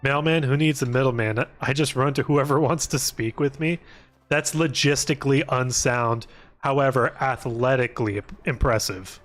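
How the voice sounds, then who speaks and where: A man's voice: mid-range voice, moderate pace, soft background music. Rambling, jokey man streaming a game. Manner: rambling, thinking aloud, jokey. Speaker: video game livestreamer. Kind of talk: casual gaming stream commentary.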